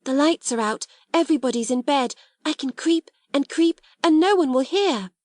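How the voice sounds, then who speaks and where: Impulsive, generous schoolgirl for a storybook, played by a woman, high, eager and dry-recorded. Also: moderate pace, a little mellow, dry recording, lightly acted. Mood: excited, secretive.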